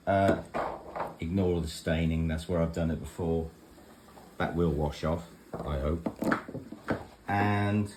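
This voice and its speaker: A man's voice: low voice, background noise. A man giving a casual home demonstration in a rambling, matter-of-fact way, like a vlogger in his kitchen.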